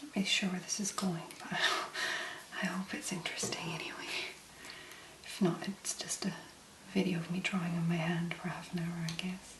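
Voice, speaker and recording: Woman whispering an asmr tutorial, breathy n gentle, takes her time, bit of room echo. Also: on the low side, flat delivery. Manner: gentle, unhurried, murmuring step by step. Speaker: ASMR video host. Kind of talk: soft-spoken ASMR make-up tutorial.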